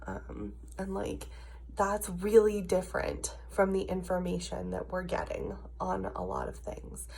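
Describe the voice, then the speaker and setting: A woman's voice: on the low side, big pitch swings, unhurried, vocal fry, a little mellow, husky. An American woman in her early thirties, sick with a cough and sinus trouble, giving a frustrated, emphatic, casual personal rant. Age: early 30s. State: ill, with a cough and congested sinuses. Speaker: video diarist.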